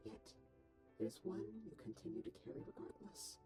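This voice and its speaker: A voice actor in a comic dub playing a stern, clinical robot caretaker who lectures in formal, precise language but has a soft heart. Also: mid-range voice, big pitch swings, moderate pace, over music, natural voice. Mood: solemn, gentle. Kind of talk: animated comic dub acting.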